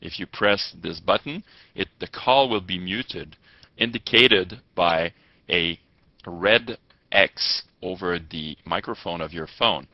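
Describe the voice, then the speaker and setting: A man giving step-by-step tutorial narration as an instructor, with halting delivery and formal wording. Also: low voice. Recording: muffled, echoey lo-fi room recording.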